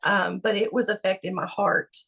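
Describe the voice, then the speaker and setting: A woman with a gravelly voice answering interview questions about her health, halting and full of fillers. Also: mid-range voice, steady tone, a bit bright. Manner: halting, many fillers and restarts. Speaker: patient guest.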